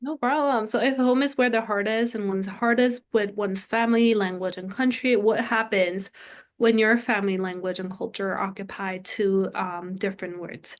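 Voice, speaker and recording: Woman in her thirties, native Korean speaker from South Korea, presents research earnestly over a video call, lots of "um"s. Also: medium pitch. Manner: earnest, frequent "um" fillers. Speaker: guest lecturer.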